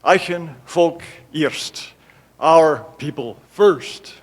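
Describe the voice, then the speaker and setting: A man's voice: a little high, animated, drawn-out words, slight background noise. Man introducing a speaker. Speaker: event host introducing a speaker. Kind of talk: formal speech introduction.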